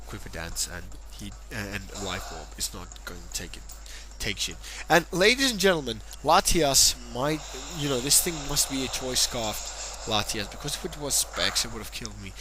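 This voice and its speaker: A man talks his way through a match in a loose, rambling commentary, cracking jokes and dropping swear words along the way. He sounds a bit tipsy, and his voice sits at an ordinary middle pitch. Loud game music plays under everything he says. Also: poor audio. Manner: rambling, joking and profane. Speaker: gameplay commentator. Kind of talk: casual play-by-play game commentary.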